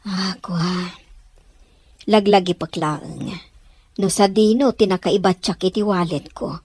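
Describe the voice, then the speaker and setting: A woman's voice: mid-range voice, steady tone, a little slow, a little mellow, breathy edge. A woman with an Ilocano accent playing a flustered, evasive mother in an audio drama. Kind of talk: radio drama acting.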